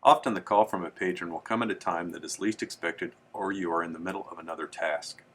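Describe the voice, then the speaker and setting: A man with a low, clear-edged bright voice presents a training tutorial. He reads from a script in a formal, measured and instructional way, with a forward, crisp tone. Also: fairly even intonation.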